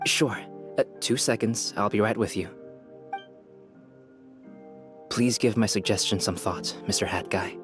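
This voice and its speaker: An actor playing a calm, polite young male researcher in a game, speaking formally and articulately. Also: on the low side, moderate pace, music in the background, natural voice. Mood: gently obliging. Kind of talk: game character dialogue.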